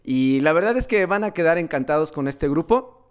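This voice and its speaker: A man's voice: a little high, lively intonation, moderate pace, smooth. A man with a Mexican accent hosting a casual music radio show in a friendly, slangy, upbeat way. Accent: Mexican Spanish. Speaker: radio host.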